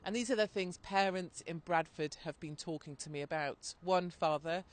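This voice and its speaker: A woman's voice: fairly low pitch, moderate pace. A woman, speaking as a reporter and interviewer.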